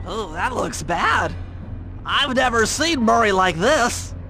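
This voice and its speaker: A man's voice: sits high, animated, takes it slowly, sharp tone, exaggerated voice, poor audio. A man voicing a brainy, cautious turtle tech expert in a cartoon video game, nasal, precise, wordy and earnest. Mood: worried. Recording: music behind the voice.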